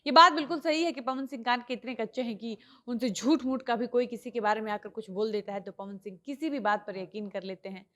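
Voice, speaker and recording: A woman's voice: on the high side, a little fast, few pauses, a bit bright. A woman presenting an online news report, explaining the story as she retells it.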